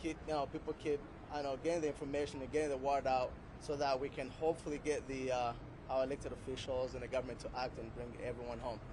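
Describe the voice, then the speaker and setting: A man, son of a detainee, giving earnest, halting remarks at an outdoor press event. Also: medium pitch, flat delivery. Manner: earnest, halting, many fillers. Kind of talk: unscripted public remarks. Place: outdoors on a city street. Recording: outdoor street noise.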